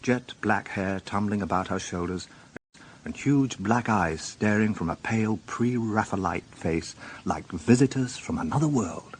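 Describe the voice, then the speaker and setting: An actor playing a shrewd, educated police inspector, dry, questioning and ironic, in a radio drama. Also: male voice, lowish voice, unhurried, a little hiss or hum, close to an everyday voice. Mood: curious, dry.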